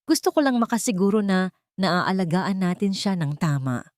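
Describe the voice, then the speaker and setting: Scheming young fiancée in an audio melodrama, played by a female narrator: gentle, coaxing, falsely caring, close and dry. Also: mid-range voice, lively intonation, normal speed, no room sound, close to an everyday voice.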